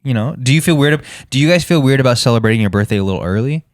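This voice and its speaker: A man's voice: medium pitch, animated, racing, no room sound, studio quality. A man co-hosting a podcast, chatting casually, teasing his friends and steering the conversation. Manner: teasing, joking, steers the talk. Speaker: podcast co-host. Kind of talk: casual chat.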